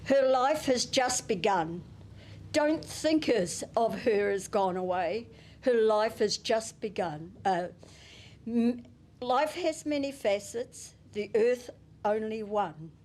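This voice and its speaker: Slow, gentle New Zealand woman. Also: on the high side. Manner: gentle and unhurried, with soft chuckles. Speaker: family member giving a eulogy. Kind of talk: reading a prepared tribute and poem. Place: memorial service venue. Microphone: handheld microphone.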